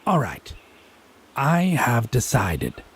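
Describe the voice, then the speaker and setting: An actor playing a haughty, teasing male Fae in an audio roleplay, speaking in an arch, pompous, theatrically drawn-out way. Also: medium pitch, huge pitch swings, a bit bright, husky, exaggerated voice. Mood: commanding, teasing. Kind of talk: scripted audio roleplay drama.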